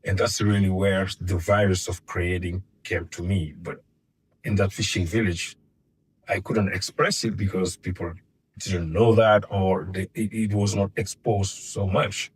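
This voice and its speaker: A man's voice: low voice, level tone, a little slow, slight background noise, rough recording. A middle-aged man from Senegal, guest in an interview, speaking hesitantly and often repeating words while he searches for them. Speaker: guest filmmaker. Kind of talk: casual interview.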